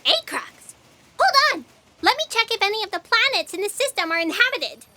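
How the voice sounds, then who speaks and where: Woman playing a very high-pitched frantic writer. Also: very animated, normal speed, background noise. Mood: flustered. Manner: whiny, agitated. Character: Fran, frantic high-strung female writer of destiny. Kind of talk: sci-fi comedy audio drama acting.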